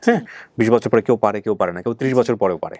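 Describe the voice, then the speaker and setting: A Bangladeshi man hosts an interview in a quick, explanatory way. His voice is mid-pitched and recorded dry and close. He probes by rewording his questions. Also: a little mellow, no room sound. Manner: explanatory, probing with repeated rephrased questions. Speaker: show host. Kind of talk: conversational interviewing on a family reunion show.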